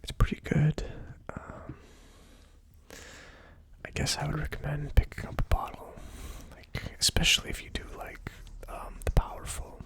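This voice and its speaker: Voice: medium pitch, completely flat delivery, normal speed, fairly bright tone. A person giving a casual ASMR tasting review as host, speaking informally and a little tentatively. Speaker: host of a whiskey tasting series.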